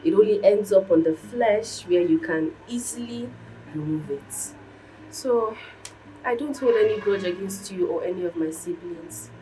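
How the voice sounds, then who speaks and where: Casting: woman as young, forgiving, deferential stepdaughter for screen drama, medium pitch, recorded distantly over noise. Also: a little slow, dark tone, lightly acted. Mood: placating, forgiving. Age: young adult. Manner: deferential, earnest. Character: meek, forgiving young stepdaughter bound for school. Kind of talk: melodramatic film acting. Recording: distant mic, background noise.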